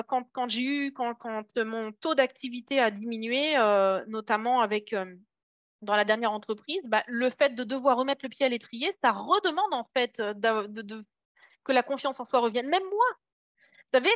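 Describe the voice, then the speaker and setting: A woman's voice: on the high side, moderate pace, a bit bright, no room sound, muffled recording. A woman leading a casual motivational training session for her sales team, encouraging and hesitant, with many fillers and restarts. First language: French. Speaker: network marketing team trainer.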